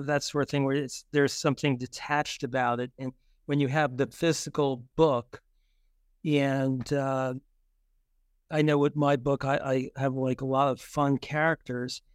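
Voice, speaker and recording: A man speaking as a guest author in casual conversation, haltingly circling back over his words with many fillers. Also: medium pitch, clear, resonant tone, no room sound, studio quality. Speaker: interview guest, children's author.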